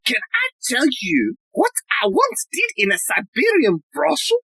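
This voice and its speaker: A woman voicing a flamboyant, henpecked male psychoanalyst in a farcical audio play, with a shrill, melodramatic manner. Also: wildly swooping pitch, a little slow, fairly bright tone, no echo, studio quality, heavily acted. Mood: pompous, eager.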